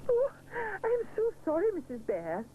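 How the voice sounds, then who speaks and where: A woman voicing a fussy, excitable male baker creature who speaks in flustered, dramatic exclamations. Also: extremely high pitch, extremely slow, narrow, tinny audio, pitched above the performer's own voice, exaggerated voice. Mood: wailing. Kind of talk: storybook character voice. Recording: noisy background hiss.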